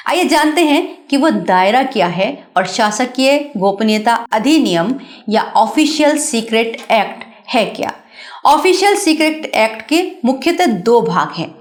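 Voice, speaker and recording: An Indian woman presenter giving a scripted explanatory narration, instructive and speaking directly to the viewer. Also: mid-range voice.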